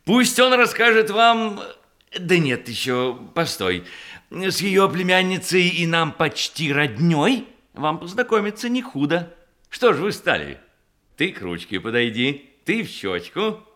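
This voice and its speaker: Merry bossy gent, raised lively voice, teasing everyone, old-style verse comedy on radio. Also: male voice, a little high, unhurried, heavily acted. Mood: bossy, jovial. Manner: boisterous, teasing. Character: jovial teasing gentleman, bossy merry head of household.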